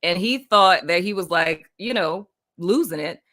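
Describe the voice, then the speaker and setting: A woman's voice: on the low side, expressive, a little slow, bright, forceful tone. A woman from Puerto Rico hosting a casual spiritual live stream, rambling loosely with lots of "like" and "you know what I mean" and frequent laughter. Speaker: livestream host.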